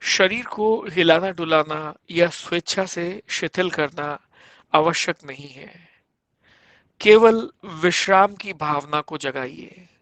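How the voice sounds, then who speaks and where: A man leads a guided yoga nidra session as an instructor. His voice sits a little high for a man and moves very slowly, in short instructive phrases with measured pauses. The sound is dry and close, with no room around it. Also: a bit bright, dry recording.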